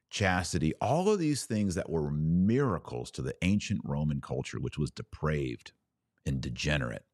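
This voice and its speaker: Man, American accent, extremely low voice, religious podcast host, earnest emphatic delivery, phrase-by-phrase preaching, dry close sound. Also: no echo. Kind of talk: preachy live-stream commentary, part sermon and part chat.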